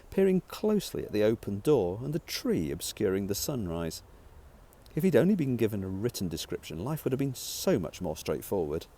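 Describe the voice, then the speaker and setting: A man's voice: lowish voice, warm tone. A man who is an author, narrating their own light-hearted sci-fi novel as an audiobook.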